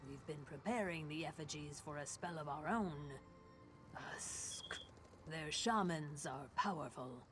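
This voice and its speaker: A man's voice: a little high, a little slow, natural voice. A grim reptilian mage from a fantasy video game, played by a man, speaks with hissing, solemn deliberation. The voice is formal and fierce, with prominent music playing underneath. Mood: resolute. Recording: game audio with music behind.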